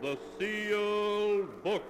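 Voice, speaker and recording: A man announcing a 1940s radio mystery show in a theatrical, portentous manner. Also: sits high. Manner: portentous, deliberate phrasing, theatrical. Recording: noisy vintage 1940s radio broadcast.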